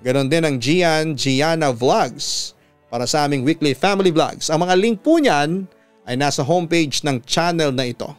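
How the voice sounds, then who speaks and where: A Filipino man hosting a radio story program, reading scripted listener letters and giving advice in an earnest, measured way. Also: on the high side, animated. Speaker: radio host and story narrator. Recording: music under the voice. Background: background music.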